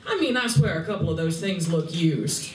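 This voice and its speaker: A woman's voice: lowish voice, expressive, moderate pace, natural voice. Woman playing a breezy female alien swindler, cynical, matter-of-fact, teasing, comedy radio play, distant echoey recording. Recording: roomy, distant convention recording, faint noise.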